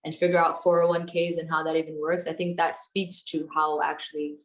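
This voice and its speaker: A woman's voice: low-pitched, level tone, brisk. A woman in her thirties, from South Africa, speaking reflectively and articulately in an interview. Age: 30s. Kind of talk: recorded interview testimony. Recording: roomy echo.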